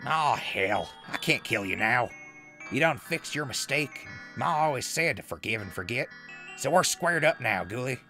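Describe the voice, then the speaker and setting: A simple, excitable hermit from the backwoods. The voice is sharp and gravelly, leaping around in pitch. It suits a wasteland radio play, with faint music behind. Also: male voice, moderate pace. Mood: folksy, friendly. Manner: folksy, excitable. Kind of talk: post-apocalyptic audio drama acting.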